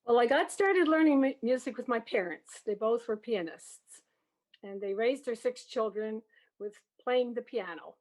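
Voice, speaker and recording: A woman's voice: a little high, expressive, moderate pace, a little mellow, rough recording. An older woman with a Canadian accent, reminiscing casually and animatedly, with trailing asides. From Prince Edward Island, Canada. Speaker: guest, music educator and organist.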